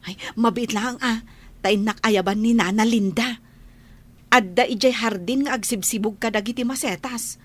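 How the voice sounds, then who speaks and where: A woman's voice: fairly high pitch, normal speed, natural voice. A woman playing an emotional, stubborn young housemaid who pleads plaintively in a radio drama. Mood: pleading, insistent. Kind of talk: Ilocano radio drama acting.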